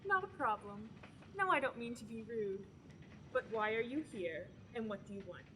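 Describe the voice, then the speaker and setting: A woman's voice: on the high side, expressive, normal speed, a bit bright. A young woman acting a wary townswoman in a radio play, polite but curt and dismissive. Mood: guarded. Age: teenager. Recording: noisy background.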